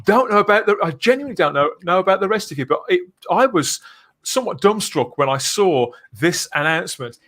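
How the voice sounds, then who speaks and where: A man hosting a live podcast, presenting in an excitable, showman-like way. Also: sits high, a little fast. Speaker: podcast host.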